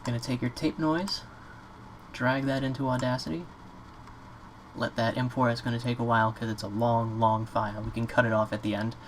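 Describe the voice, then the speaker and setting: A man giving a casual, off-the-cuff software tutorial in an informal, slightly hesitant way. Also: mid-range voice, flat delivery, fairly quick. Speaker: tutorial presenter. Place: indoors at a computer. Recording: clear background noise, keyboard clicks.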